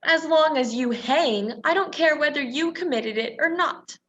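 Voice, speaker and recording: Woman playing an indignant young captive, high-pitched. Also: moderate pace, fairly bright tone. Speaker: student stage actor. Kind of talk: radio play acting. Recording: dull, lo-fi virtual recording.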